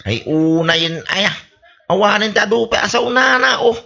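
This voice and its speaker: A wheedling, boastful village drunk with an Ilocano accent in a radio comedy. The voice is high and gravelly, with a bright edge. It slurs and rambles through muttered interjections. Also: male voice, animated, moderate pace, full character voice.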